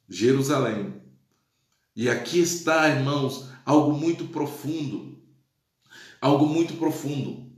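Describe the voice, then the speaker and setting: A man's voice: mid-range voice, roomy sound. A male pastor teaching the Bible in a live stream, exclaiming praise and checking in with his listeners, his Portuguese touched by Spanish. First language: Spanish influence.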